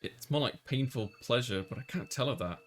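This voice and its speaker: A man's voice: medium pitch, expressive, fairly quick, close to an everyday voice. A man voicing a flustered young male college student who narrates his own story in a visual novel. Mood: flustered, sheepish.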